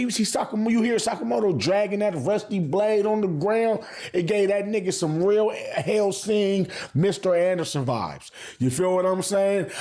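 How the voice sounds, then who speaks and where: High-pitched man, slangy and emphatic. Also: dark tone. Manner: slangy, emphatic, swears often, repeats phrases for emphasis. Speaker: anime reviewer and channel host. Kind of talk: casual opinionated vlog commentary.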